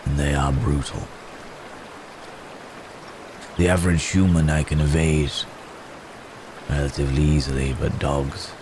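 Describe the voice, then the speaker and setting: A man voicing a gentle, remorseful wounded werewolf in an intimate ASMR roleplay, speaking haltingly and formally, as if in pain. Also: a bass voice, noisy background. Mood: pained, wry. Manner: halting, hesitant, soft-mannered storytelling with formal wording. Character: wounded male werewolf, gentle, remorseful, recounting his escape.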